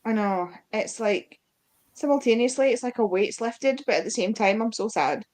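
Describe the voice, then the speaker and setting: A woman's voice: a little high, expressive, normal speed, darkish tone. A woman co-hosting an informal book discussion, chatting casually and acting out bits of dialogue as she retells. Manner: informal, acts out quoted dialogue. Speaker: book club live show co-host. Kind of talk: casual chat about books.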